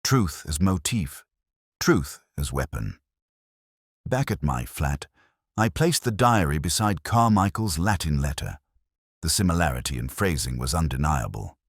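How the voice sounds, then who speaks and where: Very deep man narrating a detective story. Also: animated, husky, dry recording, clean studio sound. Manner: literary, measured, brooding. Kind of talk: scripted first-person audio drama narration.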